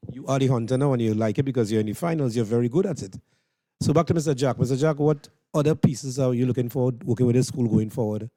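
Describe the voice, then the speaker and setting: A man's voice: mid-range voice, fast talker, dark tone, dry recording. A man with a Trinidadian accent, interviewing as an encouraging event host on a live broadcast.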